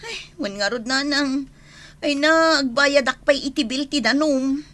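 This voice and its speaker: A woman's voice: sits high, measured pace. A woman playing an exasperated, nagging mother and wife in an Ilocano radio comedy drama, scolding dramatically. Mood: worried, weary. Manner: scolding, dramatic, loudly calling out.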